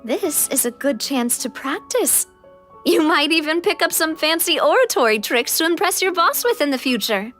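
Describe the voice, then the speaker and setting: A woman voicing a bubbly young leader in a game, playful and quick to laugh. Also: sits high, soft background music. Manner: lively, playful, laughs often.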